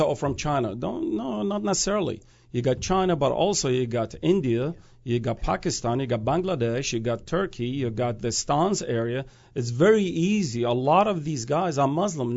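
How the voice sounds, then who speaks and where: A man's voice: mid-range voice, gravelly, a little mellow, lo-fi sound. A man who grew up in Iraq, a guest speaking about prophecy in an earnest, preaching way, often restarting phrases and rising to emphatic peaks.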